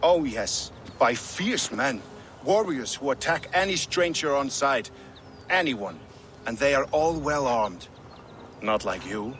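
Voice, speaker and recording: An actor playing an eccentric, eager, jittery Norse outcast in a video game, halting and sing-song, repeating himself. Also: male voice, medium pitch, animated, a bit bright, a little hiss or hum. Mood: conspiratorial. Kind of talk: video game character acting.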